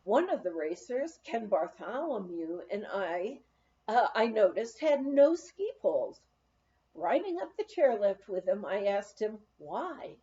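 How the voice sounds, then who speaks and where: Lively, unhurried woman reading aloud. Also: mid-range voice, lo-fi sound. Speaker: audiobook reader. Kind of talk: scripted narration of a humorous memoir, read aloud.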